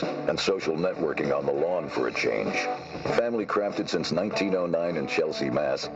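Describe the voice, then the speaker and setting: A man reading a scripted food commercial for the radio. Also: extremely low pitch. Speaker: advertising voice-over. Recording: dull radio sound under loud music. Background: loud guitar music.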